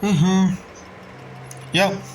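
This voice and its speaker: A man's voice: high voice, little pitch movement, drawn-out words, slight background noise, poor audio. A man giving casual reaction commentary on a game, often repeating short exclamations. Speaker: gameplay streamer. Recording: game music under the voice. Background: game music.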